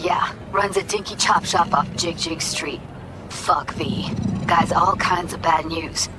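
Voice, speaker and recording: A woman's voice: lowish voice, steady tone, slow, loud music behind the voice, poor audio. An actress playing a tough, guarded young street-tech woman in a video game, blunt, sarcastic and slangy. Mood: wry, uneasy. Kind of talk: video game dialogue acting.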